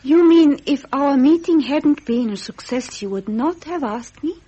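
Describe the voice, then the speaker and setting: High-voiced, sweet young princess. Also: female voice, expressive, unhurried. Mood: gently firm. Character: young princess, sweet, naive, modest, gently forthright. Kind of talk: 1970s British radio drama acting. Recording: muffled vintage radio, faint hiss.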